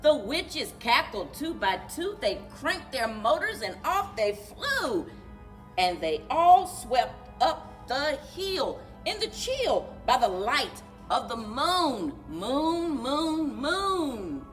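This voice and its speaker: A woman reading a children's storybook aloud in an animated, rhythmic singsong for a library story time. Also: high-pitched, takes it slowly, faint music behind, rough recording. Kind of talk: children's storybook read-aloud with chanted refrains.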